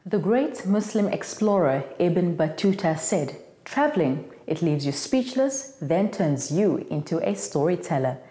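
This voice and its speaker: A woman's voice: lowish voice, big pitch swings, measured pace, few pauses, mellow. A woman presenting a TV show from a script, in a roomy, echoing sound.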